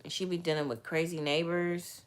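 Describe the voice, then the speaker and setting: A woman, chatting casually and candidly with viewers, rambling and turning soft and heartfelt as she talks. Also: fairly low pitch, flat delivery, normal speed. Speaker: vlogger answering viewer questions. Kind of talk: casual livestream chat.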